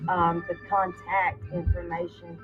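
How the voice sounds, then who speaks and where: A host with a hoarse voice, giving earnest commentary with many fillers. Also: mid-range voice, monotone, unhurried. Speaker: channel host. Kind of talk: casual commentary to camera. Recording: phone-like lo-fi with loud music behind.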